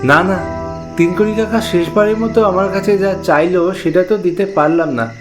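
A man's voice: high-pitched, normal speed, music in the background, pitched above the performer's own voice, lightly acted. A man voicing a friendly, sentimental village traveller, chatty and earnest, in a dramatised ghost story. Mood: regretful, earnest.

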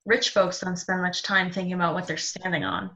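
A woman's voice: on the low side, steady tone, fairly quick, pushed and bright. A woman playing a teasing, plucky young rebel, recorded on a dull call microphone.

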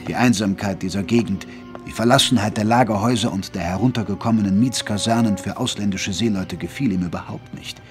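A man's voice: lowish voice, brisk, faint music behind, close to an everyday voice. A man playing a grave, literary Victorian chronicler, narrating an audio drama in a solemn storytelling manner. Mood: ominous, hushed.

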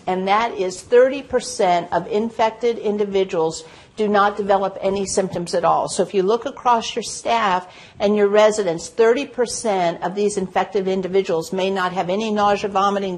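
An older woman presenting an instructional health lecture in an explanatory way, stressing key points. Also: lowish voice. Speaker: health educator presenting a webcast. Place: indoor presentation studio at a lectern. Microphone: lapel mic.

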